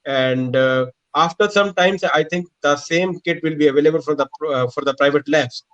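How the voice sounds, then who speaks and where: A man, a doctor from India, answering questions haltingly in a hoarse voice. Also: on the high side, a bit bright. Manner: halting, searching for words. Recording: dull lo-fi call audio.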